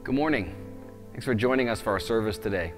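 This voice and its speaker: Conversational preacher with a reverberant sound. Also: male voice, fairly low pitch, fairly quick, over music. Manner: conversational, explaining with open hand gestures. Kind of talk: sermon. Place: indoors in front of a wooden plank wall. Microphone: lapel mic.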